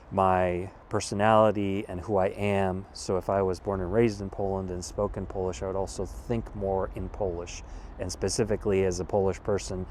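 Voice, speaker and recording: A deep, low-pitched American man in his thirties talks to the camera outside, reflective and unhurried. He stops to search for words and circles back to rephrase. Faint traffic hums behind him. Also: darkish tone. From California, USA. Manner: thoughtful, measured, with pauses and restarts. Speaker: vlogger. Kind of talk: casual reflective monologue. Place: outdoors in a wooded city spot.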